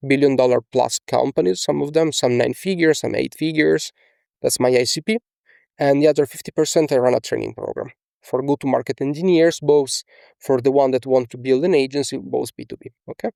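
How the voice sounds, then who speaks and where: A man's voice: medium pitch, a little fast, vocal fry, a little mellow, dry recording, studio quality. A young man from Italy with an Italian accent, talking casually as a coach in a screen-share tutorial, often tagging on "okay?" and fillers. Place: small indoor room.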